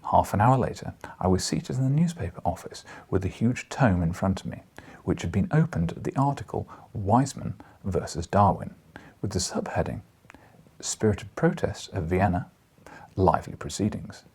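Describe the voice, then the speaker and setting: A man reading a story aloud as an expressive audiobook narrator, with a husky voice. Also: deep voice, moderate pace. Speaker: audiobook reader. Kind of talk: expressive audiobook narration with brief casual asides.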